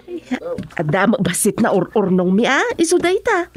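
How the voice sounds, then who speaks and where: A woman's voice: mid-range voice, sing-song, normal speed, husky, dry recording. A woman playing a humble, polite village housewife in a comedic radio drama. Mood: polite, modest.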